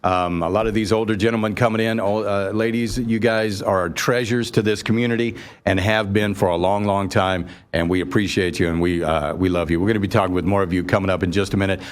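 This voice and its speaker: A man's voice: on the low side, fairly even intonation, a little fast, few pauses. An American man hosting a live radio show, talking to an audience in an effusive, rambling way.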